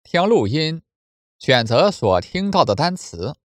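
A man briskly reading short test instructions for a recorded lesson. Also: on the high side, big pitch swings, dry recording, studio quality. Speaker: instruction announcer.

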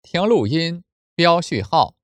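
Brisk man announcing test instructions. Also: a little high, lively intonation, no room sound.

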